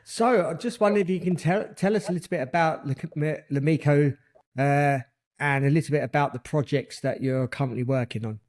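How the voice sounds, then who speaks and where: A man's voice: a little high, a little fast, a little mellow. A man hosting a podcast interview, asking questions in a conversational, slightly hesitant way. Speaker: podcast host.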